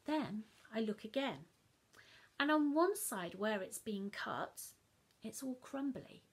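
Breathy, expressive woman telling a story. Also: a little high, slow pace. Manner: expressive, slipping into hushed whispers. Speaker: teacher reading aloud. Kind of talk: dramatic storytelling to children. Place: living room, on a sofa.

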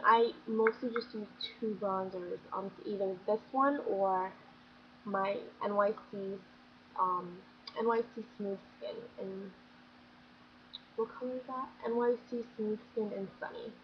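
A woman's voice: medium pitch, measured pace. A young woman talks through her favorite products in a chatty, gushing way, her voice a bit scratchy as she comes down with something. She sits in a small bedroom speaking into a built-in webcam mic. The sound is dull and lo-fi, with a faint hiss of room noise behind her. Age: young adult. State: slightly scratchy, getting sick. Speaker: beauty vlogger. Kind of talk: casual product review chat.

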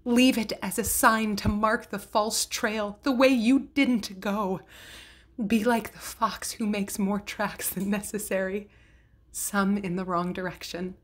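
A woman reading poetry aloud in a measured, deliberate way, pausing between phrases. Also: mid-range voice, steady tone. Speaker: poetry reader. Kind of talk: poetry recitation.

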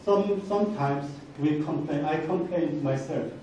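A man's voice: on the high side, a little slow, warm tone. Korean-accented man lecturing in a very echoey hall. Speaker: guest speaker. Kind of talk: motivational lecture to students. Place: large indoor university lecture hall. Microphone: handheld microphone, captured from across the room. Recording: very echoey hall, distant, faint noise.

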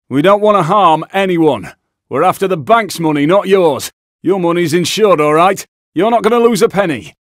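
Video game bank robber, Northern English accent, gruff, brash, sweary, hot-tempered, animated pitch, dry studio sound. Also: male voice, dry recording. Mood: firm, commanding. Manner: brash, barking short commands, heavy swearing. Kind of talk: video game combat barks.

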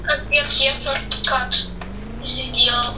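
A woman's voice: high voice, flat delivery, slow, bright, forceful tone, a little hiss or hum. A young woman gamer making short, offhand remarks on a voice call while playing. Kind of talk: casual chat while playing. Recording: roomy distant mic with music behind.

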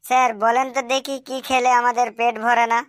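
A woman voicing a cheeky schoolchild in a comic cartoon, answering in a deadpan, literal-minded, politely impudent way. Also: fairly high pitch, fairly quick, no room sound, studio quality, lightly acted. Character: cheeky schoolchild, earnest-sounding classroom smart aleck. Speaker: cartoon voice actor.

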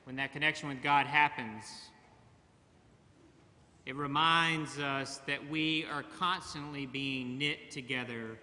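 A middle-aged man preaching a sermon from a church pulpit, slow and measured with long pauses. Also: on the high side, pushed and bright. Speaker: pastor. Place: large church sanctuary, at a pulpit. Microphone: pulpit mic, far camera. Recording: church hall reverb.

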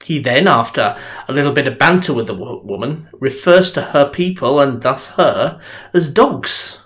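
A man's voice: medium pitch, expressive, moderate pace, a bit bright. A middle-aged man, a minister, giving a sermon in a conversational, earnest storytelling way from his home study into a webcam. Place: home study with bookshelves, indoors. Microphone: webcam at desk.

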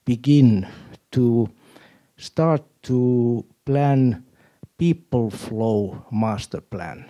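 A man from Finland with a Finnish accent, asking a question from the audience in a deliberate, halting way. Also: medium pitch, mellow. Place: indoor auditorium audience.

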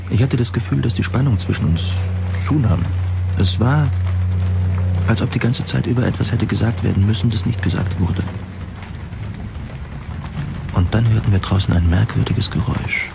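A male narrator tells a first-person sci-fi story in a scripted audio drama style. His voice is fairly low and creaky, with clearly audible atmospheric noise behind it.

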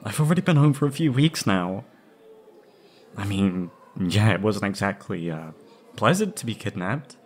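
A young man acting an anxious, wistful former captive in a scripted roleplay audio drama, speaking hesitantly and haltingly. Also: on the low side, very animated. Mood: defensive, uneasy.

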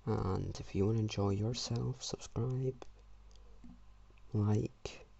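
A teenage boy rambling self-mockingly through a low-key channel intro in a flat, gravelly voice. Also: slow pace, highs cut off. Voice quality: gravelly, husky, flat-sounding voice. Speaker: YouTuber. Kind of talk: casual channel intro voice-over.

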